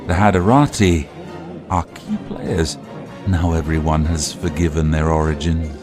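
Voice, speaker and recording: Ancient vampire noble, audio drama: very low, unhurried, formal and knowing. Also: male voice, music in the background. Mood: measured, knowing. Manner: measured, suave, formal. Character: long-lived vampire nobleman, composed and knowing. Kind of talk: audio drama acting, measured exposition.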